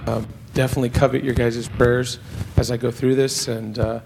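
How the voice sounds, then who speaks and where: Hoarse male church volunteer, gravelly, halting delivery, middle pitch. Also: little pitch movement, noisy background. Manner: halting, full of ums and uhs. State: hoarse from yelling. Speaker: church volunteer leaving to train as a sheriff. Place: church sanctuary stage.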